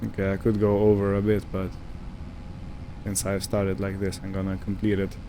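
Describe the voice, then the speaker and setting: A man giving casual let's-play commentary on a video game, musing to himself in an unhurried way. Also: low-pitched, monotone, warm tone, slight background noise. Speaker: gamer narrating own farming simulator playthrough.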